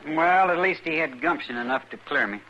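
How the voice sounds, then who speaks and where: An actor playing a defiant, aggrieved young cowhand with an American Western accent in an old radio drama, blunt and surly. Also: male voice, a little high, expressive, a little fast, pushed and bright. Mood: impatient. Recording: dull, hissy old radio recording.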